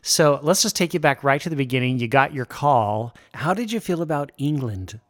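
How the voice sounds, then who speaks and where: A man's voice: medium pitch, animated, moderate pace. A man hosting a family podcast, interviewing a guest in a friendly, upbeat manner. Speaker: podcast host.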